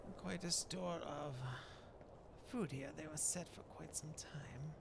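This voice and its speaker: A man playing a genteel, self-satisfied dark elf shaman in a game roleplay, musing haltingly in old-fashioned, formal words over a noisy background. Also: a little high, creaky. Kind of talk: voiced roleplay narration of gameplay.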